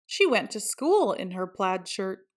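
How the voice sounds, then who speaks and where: A woman's voice: mid-range voice, big pitch swings, a little slow. A woman reading a children's picture book aloud as a storyteller. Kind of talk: scripted children's story narration.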